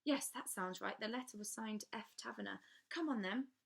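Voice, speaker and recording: A woman voicing a blustering male henchman who talks gruffly and impatiently. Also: mid-range voice, moderate pace, a bit bright, pitched above the performer's own voice.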